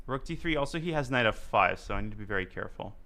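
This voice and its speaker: A young man talks through his live game as he plays, mulling moves aloud and dropping playful jokes along the way. His voice is bright and forceful, with a middle pitch for a man. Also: lively intonation, quick pace. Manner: thinks aloud, jokey asides. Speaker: chess streamer. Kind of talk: live commentary while playing.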